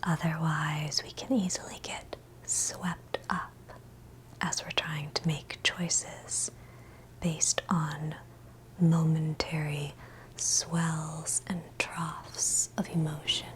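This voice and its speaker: A woman whispering a scripted ASMR guided meditation in a breathy, gentle, soothing way, leaving long pauses between short phrases. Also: low voice, flat delivery, low-quality recording.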